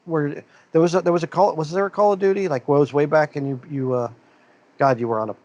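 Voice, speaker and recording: A man's voice: a little high, fairly even intonation, fast, darkish tone, dull audio. A man co-hosting a casual podcast chat, rambling and joking as he lists titles off the top of his head. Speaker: podcast co-host.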